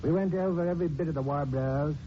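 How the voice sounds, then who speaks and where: An actor playing a plain-spoken, dutiful police sergeant in a 1940s radio drama, deferential and matter-of-fact. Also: male voice, on the high side, fast, natural voice. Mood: earnest, respectful. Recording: dull, noisy 1940s radio recording.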